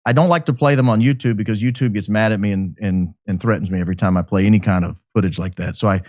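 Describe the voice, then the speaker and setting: A man speaking as a podcast guest, giving speculative commentary in an emphatic, deliberate way. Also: fairly low pitch, darkish tone, no echo. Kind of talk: speculative commentary in a talk show.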